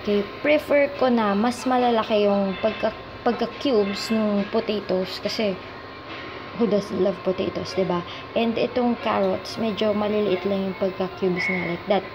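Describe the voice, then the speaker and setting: A woman's voice: mid-range voice, fairly even intonation, normal speed, background noise. A young Filipino woman with a Filipino accent, hosting a casual cooking tutorial in her home kitchen, switching between English and Tagalog with frequent ums. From Philippines. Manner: mixes English and Tagalog, hesitant with many ums. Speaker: cooking vlogger.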